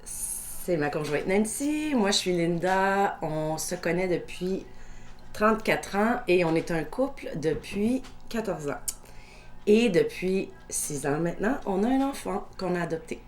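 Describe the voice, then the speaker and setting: A woman in her fifties with a Québécois French accent, recounting her own life story in a measured, reflective way during a documentary interview. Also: on the low side, expressive. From Quebec.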